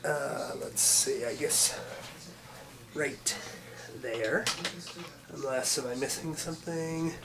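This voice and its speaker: A man with a cold, sounding congested, talking through an unboxing at home in a halting, unscripted way with many pauses and fillers. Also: mid-range voice, heavy background noise. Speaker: vacuum hobbyist doing an unboxing.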